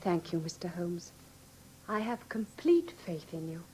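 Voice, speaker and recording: A woman's voice: on the low side, sing-song, unhurried, warm tone, slight background noise, low-quality recording. An actress with an English accent playing a well-bred, anxious young lady, polite and earnest, in a classic radio drama. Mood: anxious, trusting.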